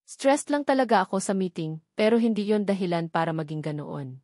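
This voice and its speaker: A woman's voice: mid-range voice, fairly quick, a little mellow, no echo, studio quality, close to an everyday voice. A woman storyteller voicing an earnest, apologetic rich young man in a romance story, pleading and sincere. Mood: sincere apology.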